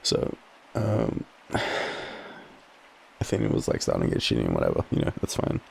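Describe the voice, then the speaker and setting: Very deep, gravelly young Australian man, murmuring softly. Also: huge pitch swings. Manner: drowsy, halting, loose and conversational with slangy swearing. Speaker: audio roleplay performer playing a boyfriend. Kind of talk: intimate casual boyfriend roleplay, rambling storytelling. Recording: faint background ambient noise.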